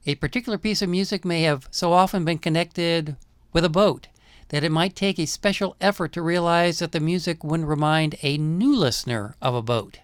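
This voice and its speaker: A man narrating a scripted essay on film music in a measured, explanatory manner. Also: a little high, clean tone, no echo, studio quality.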